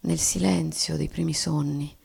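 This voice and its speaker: An actress playing a solemn, tragic wife in a poetic, declamatory radio theatre monologue. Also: female voice, sits low, fairly even intonation, a little slow, creaky, a little mellow, breathy edge, full character voice. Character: bourgeois wife, solemn and tragic, resolved on death.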